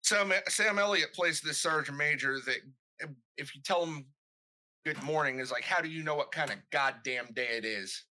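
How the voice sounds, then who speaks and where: Bright-voiced veteran man. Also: on the high side, moderate pace. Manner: storytelling, steers the conversation. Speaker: podcast co-host, military veteran. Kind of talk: casual podcast chat.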